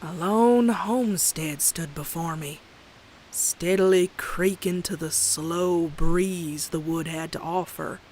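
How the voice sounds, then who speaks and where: A woman's voice: lowish voice, takes it slowly, darkish tone, slight background noise, rough recording. Woman acting folksy young Southern farm mare. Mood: somber, uneasy. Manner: folksy drawl. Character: young country farm mare, plucky, teasing, later uneasy narrator. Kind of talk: dramatic comic dub with narration.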